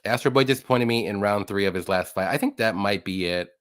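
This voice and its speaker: A man in his late thirties hosting a casual live stream, chatty and quick to greet viewers by name. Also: on the low side, fairly bright tone. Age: 30s. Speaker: host of a betting picks show.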